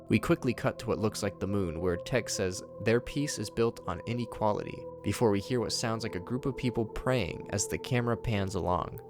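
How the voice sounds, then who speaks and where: A man hosting a video recap, narrating scripted commentary on horror episodes. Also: fairly low pitch.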